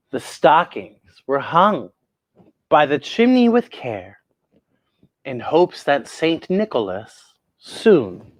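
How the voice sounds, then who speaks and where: A woman hosting a children's story show, reading aloud expressively with theatrical, drawn-out phrasing and emphatic pauses. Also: deep voice, no echo. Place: indoors at home.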